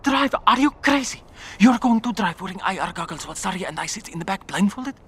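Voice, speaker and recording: A man's voice: sits high, animated, fairly quick, husky, full character voice. An actor playing an anxious, doubtful man, a survivor who persistently questions his leader, in an audio drama. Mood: worried, incredulous. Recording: faint background ambience.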